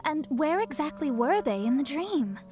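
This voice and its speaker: A haughty young female astrologer from a video game. Her high voice is articulate and self-assured, with faint music underneath. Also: sing-song, moderate pace, warm tone. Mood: businesslike. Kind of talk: video game character acting.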